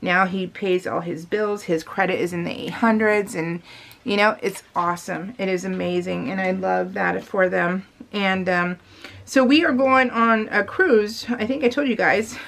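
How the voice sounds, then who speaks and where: A middle-aged woman talks casually, as a craft vlogger does to her regular viewers. Her voice sits at an average pitch. She rambles along in a confiding way and leans hard on the words she cares about. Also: fairly even intonation, measured pace, gravelly, a bit bright, slight background noise. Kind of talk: casual chat.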